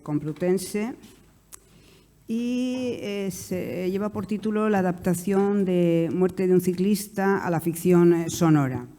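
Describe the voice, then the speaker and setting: A woman moderating a conference panel and introducing a speaker in a halting, hesitant way. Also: lowish voice, mellow, slight background noise.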